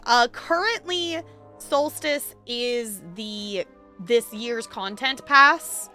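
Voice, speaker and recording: Chatty, bright-voiced woman streamer. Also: on the high side. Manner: chatty and rambling, laughs easily, talks to chat. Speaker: game streamer. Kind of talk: casual live-stream chat while gaming.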